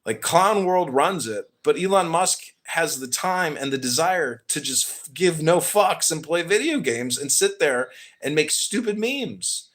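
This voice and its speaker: American guy hosting a podcast, plays dumb and sarcastic, voice jumps all over, kinda high. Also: lively intonation, measured pace, hardly any pauses, fairly bright tone. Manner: feigns ignorance, sarcastic, swears. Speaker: podcast host. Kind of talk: provocative mock-naive questioning.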